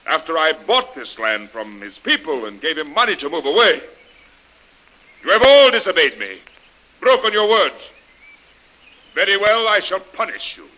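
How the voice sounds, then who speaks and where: An actor playing a stern, God-fearing older plantation master in 1930s radio style, declamatory and commanding. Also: male voice, on the high side, sing-song, normal speed, pauses often, pushed and bright, poor audio. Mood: wrathful, accusing. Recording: old radio drama recording.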